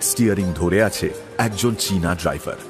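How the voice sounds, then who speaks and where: Man, deep voice, scripted story narration, soft music behind. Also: a little fast.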